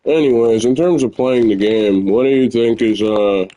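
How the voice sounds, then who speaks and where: A young man with a naturally loud voice, chatting candidly and rambling while he plays a video game at home. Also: mid-range voice, normal speed, low-quality recording. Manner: rambling, candid, filler-heavy. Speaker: gamer streamer. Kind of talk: casual gameplay commentary.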